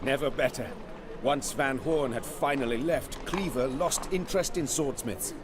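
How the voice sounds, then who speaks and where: An actor playing a grateful, courteous swordsmith in a fantasy video game, speaking formally and earnestly. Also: male voice, medium pitch, moderate pace, noisy background, natural voice. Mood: relieved, grateful.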